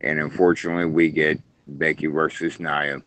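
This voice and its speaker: A man's voice: very deep voice, little pitch movement, moderate pace, fairly bright tone. A man co-hosting a casual wrestling podcast who is losing his voice and talks with many hesitant fillers. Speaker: podcast co-host.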